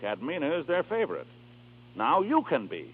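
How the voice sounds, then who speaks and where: A man's voice: fairly high pitch, wildly swooping pitch, moderate pace, phone-quality sound. Salesmanlike male manager, mid-range and urging, 1930s radio drama with thin, hissy sound. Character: persuasive, eager male manager of an opera singer.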